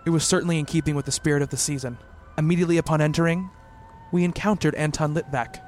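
A man acting a higher-voiced, earnest gothic narrator. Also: fairly even intonation, darkish tone, a little hiss or hum, close to an everyday voice. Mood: measured, brooding. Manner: measured, literary, formal storytelling. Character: earnest, devoted boyfriend narrating a horror tale. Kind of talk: dramatic radio play narration and dialogue. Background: music.

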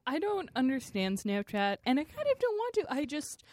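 A woman co-hosting a casual tech podcast. She makes wry, deadpan jokes. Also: sits high, normal speed. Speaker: podcast co-host, senior producer. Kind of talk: casual chat.

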